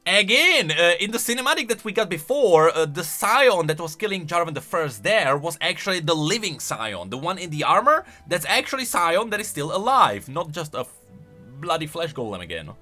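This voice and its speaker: A man hosting off-the-cuff commentary on video game lore in an excitable, emphatic way. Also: a little high, moderate pace, soft background music.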